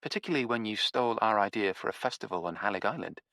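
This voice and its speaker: A sharp-tongued young woman, as read by a male narrator, cutting and pointed in a storybook reading. The voice stays close to the reader's own, bright and dry, with a sarcastic edge. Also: on the low side, lively intonation, fast, no echo.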